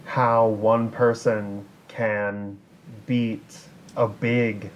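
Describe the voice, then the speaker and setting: A man co-hosting a podcast, bantering casually in an animated, theatrical, mock-earnest way. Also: lowish voice, drawn-out words, lo-fi sound, a little hiss or hum. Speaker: podcast co-host.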